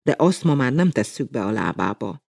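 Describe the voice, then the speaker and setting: A man voicing a tired, flustered middle-aged mother who rambles apologetically in a high falsetto. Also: sing-song, gravelly, darkish tone, husky, no room sound, studio quality, heavily acted. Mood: rambling apologetic.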